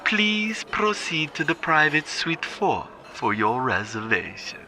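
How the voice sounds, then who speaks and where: A man playing a formal, courteous restaurant announcer, reading a scripted service message in a measured way over faint noise. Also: fairly high pitch, very animated, bright, forceful tone, full character voice.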